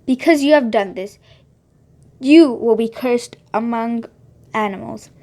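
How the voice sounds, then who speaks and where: A woman's voice: a little high, measured pace, mellow. A woman reading the lines of God in a children's Bible story, stern and questioning.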